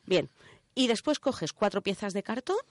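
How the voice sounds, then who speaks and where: Low-pitched Spanish woman, dry close sound. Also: very animated, moderate pace, dry recording. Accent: Spain. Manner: informal, step-by-step explaining with frequent check-in questions. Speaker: radio show contributor presenting a section. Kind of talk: casual explanatory radio chat.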